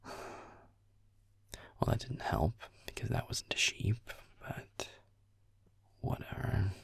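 Sulky young male werewolf gamer played by a man, very low husky half-whisper, sarcastic roleplay muttering. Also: very slow, dry recording, clean studio sound, heavily acted. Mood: sarcastic irritation. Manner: muttering, swearing, sarcastic, deadpan. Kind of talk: roleplay audio, muttered video game commentary.

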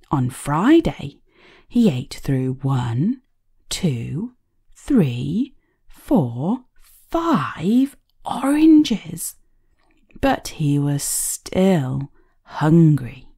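A woman reading a children's story aloud in an expressive, child-directed way, as the host of a storytime. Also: on the low side, husky. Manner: expressive, child-directed, asks the listener questions.

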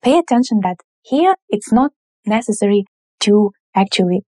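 A woman, teaching grammar at a whiteboard with slow, clear, patient explanations. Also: medium pitch, animated, a little mellow, dry recording, studio quality. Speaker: language teacher. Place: indoor room in front of a whiteboard.

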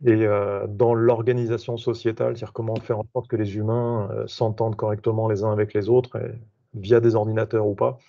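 A man's voice: fairly low pitch, flat delivery, a little fast, vocal fry, warm tone. A man introducing himself on a video call, hesitant with many fillers and restarts. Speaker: association member introducing himself. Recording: dull video call audio.

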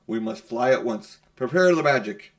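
A man's voice: mid-range voice, lively intonation, moderate pace, lightly acted. A man puts on a haughty, evil witch queen from a storybook. The delivery is imperious and curt, every phrase handed down as a command.

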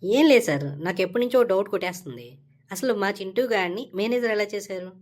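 A woman's voice: medium pitch, fairly quick, darkish tone, close to an everyday voice. A woman voicing a brash, entitled and scheming young male office worker in a comedy cartoon, talking casually and cockily. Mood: flattering, wheedling. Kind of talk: Telugu comedy cartoon dialogue.

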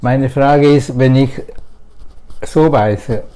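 An older man in his sixties talks as a patient in a casual testimonial. His voice is mellow and medium in pitch, picked up by a lapel mic in a small treatment room. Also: slow pace. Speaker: dental patient. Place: small dental clinic room.

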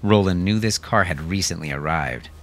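Low-voiced male audiobook narrator. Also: moderate pace.

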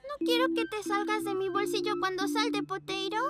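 A woman's voice: very high-pitched, fairly even intonation, moderate pace. A woman voicing a sweet, eager little girl pug puppy in a children's cartoon dub. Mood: sweet, confiding. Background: music.

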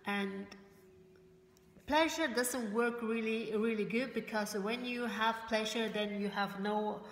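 Brief: woman, 40s, native Italian and German speaker; hesitant, word-searching motivational vlog to webcam; roomy living-room sound, faint background hiss. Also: a little high. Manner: halting, searches for English words, many fillers and restarts; gestures with her hands while talking. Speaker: motivational vlogger for network marketers. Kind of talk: casual motivational talk to camera. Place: bright living room indoors.

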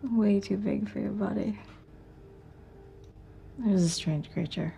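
A woman playing a tender, drowsy wife speaking softly in an intimate drama. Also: mid-range voice, measured pace, vocal fry, slight background noise. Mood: tender, dreamy. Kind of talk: intimate screen drama acting.

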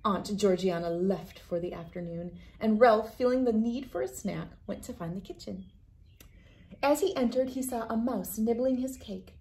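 A woman's voice: mid-range voice, normal speed, a little mellow. A woman in her thirties reading a picture book aloud as a children's read-aloud host. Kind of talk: storybook read-aloud narration. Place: small wood-panelled room indoors.

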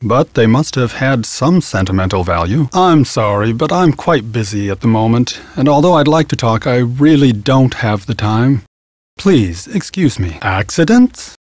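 An actor playing a prim, bookish antiques dealer in a video game, formal, precise and a little aloof. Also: male voice, medium pitch, very animated, measured pace, darkish tone, no echo, clean studio sound. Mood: politely dismissive.

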